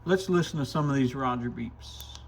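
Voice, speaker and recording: A man's voice: mid-range voice, moderate pace, vocal fry, slightly rough. A man hosting a casual hands-on product demo, thinking aloud informally as he tinkers. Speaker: radio shop reviewer and video host.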